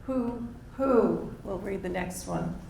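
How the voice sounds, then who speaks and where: A hoarse woman leading a writing workshop, coaxing participants to read aloud and encouraging them. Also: medium pitch, slow pace, dark tone. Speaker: workshop leader. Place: library meeting room. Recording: echoey room with faint noise.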